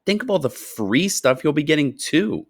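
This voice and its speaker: A man's voice: on the high side, big pitch swings, moderate pace, no room sound, studio quality, pitched above the performer's own voice. A man voicing an envious boy in a storybook reading.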